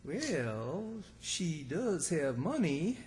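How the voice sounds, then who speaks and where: A man's voice: fairly high pitch, sing-song, very slow, darkish tone, exaggerated voice. A man playing a naive, timid country character with a rural Southern accent in old-time radio comedy, folksy and hesitant. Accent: American South, rural. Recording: echoey hall, long reverb.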